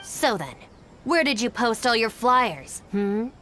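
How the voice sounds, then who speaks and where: A woman's voice: fairly high pitch, very animated, slow, pushed and bright, a little hiss or hum, exaggerated voice. A woman playing a stern, level-headed young gang minder in a video game, speaking in a dry, controlled, exasperated way. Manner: dry, controlled, matter-of-fact. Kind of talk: English video game voice acting.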